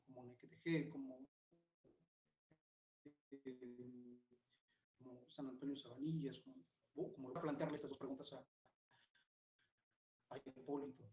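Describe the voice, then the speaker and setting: Man, halting speech in an echoey room. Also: medium pitch, darkish tone, dull audio. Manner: hesitant, with fillers. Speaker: panelist at a book presentation. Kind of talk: commentary at a book presentation.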